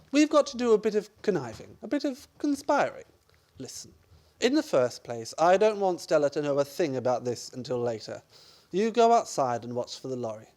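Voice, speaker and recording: Performer as scheming son-in-law, sardonic, theatrical, exclamatory, very animated intonation, comic radio play. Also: medium pitch, lightly acted. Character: wry, scheming son-in-law who scorns his mother-in-law. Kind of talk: stage-comedy radio play acting.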